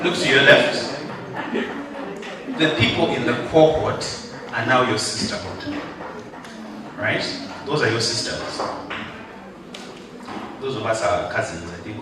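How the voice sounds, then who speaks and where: A man delivering a motivational graduation speech to an audience, rousing and joking, drawing responses from the crowd. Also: mid-range voice. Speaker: guest speaker. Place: large hall at a ceremony. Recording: echoey hall, mic far off, background noise.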